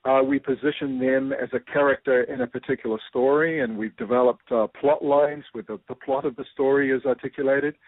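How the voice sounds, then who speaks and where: A man's voice: medium pitch, fairly even intonation, fairly quick, hardly any pauses, dry recording. A man with a New Zealand accent, a guest explaining ideas through a personal story, thoughtful and full of fillers. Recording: tinny phone-like line.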